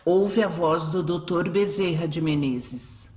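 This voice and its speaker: A woman's voice: fairly low pitch, slow, darkish tone. A Brazilian woman narrating a scripted radio drama. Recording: echoey, faint music behind.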